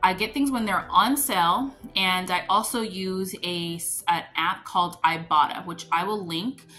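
A woman's voice: on the low side, a little slow, bright, forceful tone, soft background music. A woman in her thirties chatting to camera about stocking her pantry, explaining with her hands and laughing between points. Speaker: homestead vlogger. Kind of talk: casual chat to camera. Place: home room, later a grocery store and kitchen counter.

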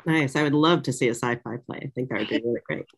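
A woman's voice: rumbling low, fast talker, gravelly, breathy edge. A middle-aged woman interviewing over a video call, feeling out her questions in loose, hesitant phrases. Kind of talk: conversational interview. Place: small indoor office, on a video call. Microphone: computer mic on video call.

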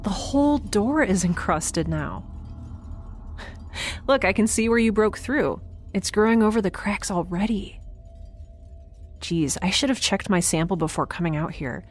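A woman's voice: mid-range voice, expressive, a little slow, long pauses, soft background music. An actress playing a curious young woman scientist aboard a spaceship in an audio drama, talking in an awkward, wry, hesitant way. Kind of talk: audio drama acting, conversational. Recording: clear ambient noise behind.

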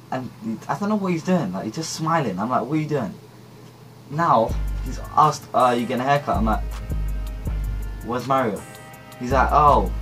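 Teen male vlogger, chatty and slangy, faint music behind, bedroom on camera mic. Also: mid-range voice. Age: teens. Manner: chatty, animated hand gestures, slangy. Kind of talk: casual storytime to camera. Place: small cluttered bedroom indoors.

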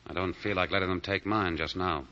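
An actor playing a cool, wry convict with a laconic manner in 1940s radio style. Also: male voice, low voice, steady tone, a little fast, sharp tone. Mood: wry, resigned. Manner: laconic, understated. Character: cool, wry, smooth-talking condemned convict. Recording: dull 1940 radio broadcast recording.

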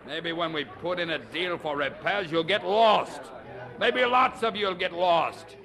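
An actor playing a gruff, grumbling ship captain with rough working-class speech in a radio drama. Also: male voice, a little high, unhurried, background noise. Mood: surly.

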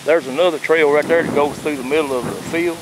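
Halting man narrating a trail walk. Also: fairly high pitch, steady tone. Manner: halting, many fillers, unsure of plant names. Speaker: trail walker filming. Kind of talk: casual narration while walking. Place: outdoors on a nature trail by a river. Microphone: handheld camera mic. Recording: faint outdoor noise with crickets.

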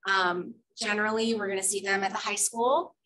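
A woman presenting program data at a school board meeting, steady and measured, with frequent ums. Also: medium pitch, low-quality recording. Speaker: school district English learner program presenter.

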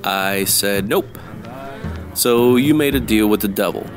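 A hardboiled supernatural detective from a radio play, acted by a man, talks low and tough. He is wry, slangy and unflappable, with music behind him. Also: a little slow, close to an everyday voice. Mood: smug, wry.

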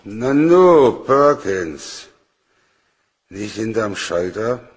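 An actor playing a sly, needling police detective with an insinuating manner in a German crime radio play. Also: male voice, lowish voice, very animated, exaggerated voice. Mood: amused, mocking. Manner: deliberate, insinuating.

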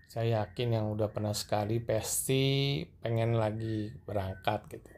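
A middle-aged man narrating a walking tour through a large mosque and onto its rooftop at night, gently addressing his viewers as he films. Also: fairly low pitch, fairly even intonation, a little slow. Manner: gentle, repeatedly addressing viewers as sir and madam. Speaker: vlogger guiding viewers. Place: large mosque, indoor escalators then open rooftop at night.

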